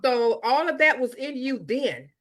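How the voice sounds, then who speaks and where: A woman's voice: high-pitched, animated, a little slow, a bit bright. Encouraging American woman hosting a talk. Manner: affirming, repeats short phrases. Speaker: talk show host.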